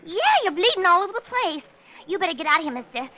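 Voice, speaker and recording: An American actress playing a brassy young moll in a 1940s radio crime drama, with a blunt, unsentimental manner. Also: female voice, extremely high pitch, big pitch swings, fast talker, sharp tone, highs cut off, heavily acted. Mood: cool, brisk. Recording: noisy old radio recording with clicks.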